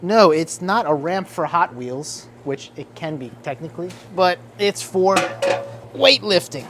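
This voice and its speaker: A man's voice: fairly high pitch, expressive, measured pace, fairly bright tone, faint music behind. A man from Central New Jersey with a New Jersey accent, chatting casually and upbeat to his viewers in a vlog. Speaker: vlogger.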